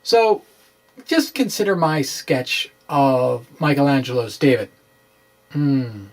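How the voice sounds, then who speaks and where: A middle-aged man presenting an informal science explainer, with halting, emphatic delivery and wry asides. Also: mid-range voice, lively intonation. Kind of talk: informal dramatic reading of a physics explainer. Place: small indoor room with bookshelves. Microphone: microphone on stand close in front.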